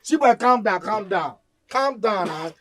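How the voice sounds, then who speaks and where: A man's voice: high-pitched, expressive, a little slow. A man playing a coaxing Nigerian Pidgin friend. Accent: Nigerian Pidgin English. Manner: repetitive, coaxing. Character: steadying young friend urging calm.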